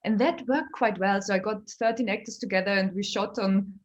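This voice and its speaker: Woman from Austria, German first language, comedian guest, lively storytelling, laughs often. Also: mid-range voice, a little fast, lo-fi sound. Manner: chatty, laughs often while telling stories. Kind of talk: casual interview chat.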